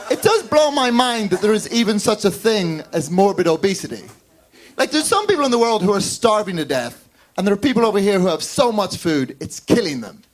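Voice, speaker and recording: A man from Northern Ireland with a Northern Irish accent, doing a wry, deadpan stand-up set on a club stage. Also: high voice. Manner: wry, deadpan storytelling with quick character voices. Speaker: stand-up comedian.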